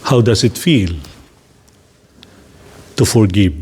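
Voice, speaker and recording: Man, Filipino English accent, priest, slow deliberate delivery, soft inviting tone. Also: lowish voice, breathy edge. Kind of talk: guided reflection talk.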